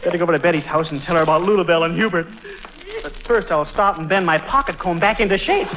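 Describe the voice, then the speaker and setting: An actor playing a bumbling, innocent, boyish young suitor with a nervous, tongue-tangled manner in a 1940s radio comedy. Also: male voice, fairly high pitch, fast, natural voice. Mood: flustered. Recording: very noisy old radio recording.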